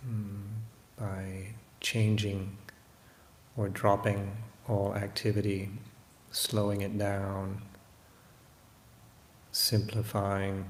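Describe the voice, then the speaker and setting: A man giving a guided meditation talk as a Buddhist teacher, unhurried and hesitant, often pausing to reflect. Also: on the low side, completely flat delivery. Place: room in a monastery. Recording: roomy echo, faint background noise.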